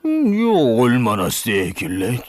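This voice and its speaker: A man's voice: mid-range voice, huge pitch swings, measured pace, dry recording, clean studio sound. A man voicing a kindly old father-in-law in a children's folktale, with indulgent, sighing delivery. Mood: fond, indulgent. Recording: loud music behind the voice.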